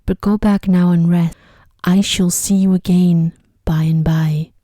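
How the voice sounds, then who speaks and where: A woman plays a lovelorn, ugly beast from a storybook, gentle and soft-spoken. The voice is low, dark and dry, in a hushed ASMR style. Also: steady tone, a little slow, dry recording, natural voice. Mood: gentle, relieved.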